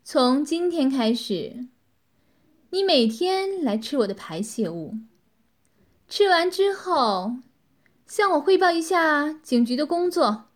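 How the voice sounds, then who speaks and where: A woman's voice: high-pitched, expressive, slow, pauses often. A woman voicing a cruel, mocking, domineering young female villain in a dramatized audiobook, with a commanding, taunting delivery. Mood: cold, commanding.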